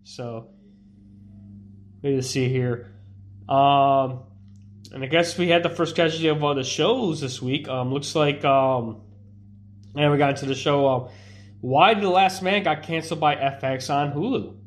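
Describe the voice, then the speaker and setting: A man's voice: a little high, unhurried, long pauses. Congested young man rambling casually. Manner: rambling, loose, with many fillers and restarts. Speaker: streamer. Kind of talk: casual live-stream chat.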